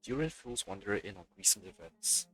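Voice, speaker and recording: A man's voice: sits low, expressive, a little fast, sharp tone, faint music behind, low-quality recording. A man streaming a video game, giving casual commentary and reading bits of text aloud.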